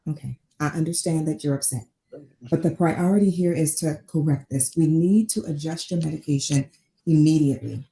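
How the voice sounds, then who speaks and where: A woman playing a composed, apologetic doctor in a dramatic read, measured and clinical, in a roomy recording. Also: low-pitched, dark tone. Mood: placating, firm. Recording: roomy, echoing remote table-read audio.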